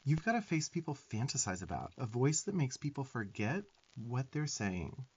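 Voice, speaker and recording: A man's voice: mid-range voice, big pitch swings, normal speed, darkish tone, husky, highs cut off. A man voicing a sarcastic, bossy but affectionate little demon twink in a profane, emphatic ASMR roleplay. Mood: fierce affection. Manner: sassy, scolding, profane, emphatic.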